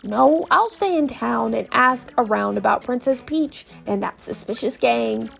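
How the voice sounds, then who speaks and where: A woman's voice: a little high, animated, unhurried, gravelly, a bit bright, soft background music, voice pushed down, full character voice. A woman voicing a shriveled old male Goomba professor from a video game, explaining things in a fussy, cautious way. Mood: earnest, advising. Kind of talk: video game character read aloud.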